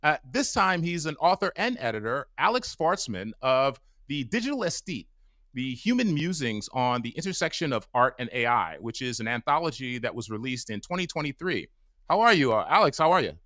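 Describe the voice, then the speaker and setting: A man's voice: mid-range voice, sing-song, a little fast, fairly bright tone, no room sound, clean studio sound. A man hosting a podcast over a video call, chatting casually in a rambling way with many fillers. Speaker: podcast host. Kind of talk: casual interview chat. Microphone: mic on a stand over a video call.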